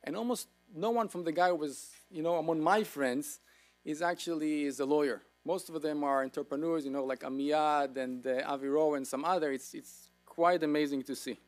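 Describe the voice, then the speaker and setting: A man's voice: fairly high pitch, normal speed, faint music behind. A middle-aged man with an Israeli accent giving a keynote business lecture, with informal, humorous storytelling. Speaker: keynote speaker.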